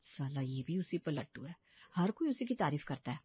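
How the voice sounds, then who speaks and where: A woman's voice: low-pitched, sing-song, normal speed, breathy edge, dull audio, voice pushed down, full character voice. A woman voicing an envious, discontented young housewife in a storybook tale, whining and exclaiming with self-pity. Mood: envious, sulky.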